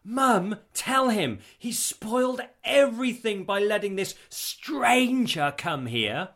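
A man's voice: mid-range voice, expressive, takes it slowly, bright, forceful tone, slightly rough, full character voice. A man voicing a venomous, histrionic adult daughter in a comic family story. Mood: indignant. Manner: dramatic, indignant, whining.

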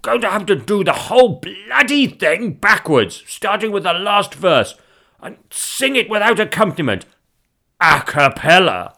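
A man's voice: high-pitched, big pitch swings, measured pace, vocal fry, sharp tone, voice pushed up, exaggerated voice. A man voicing a boisterous, boyish husband in a comic story, theatrical and showing off. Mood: blustering. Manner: theatrical, exclamatory, sing-song.

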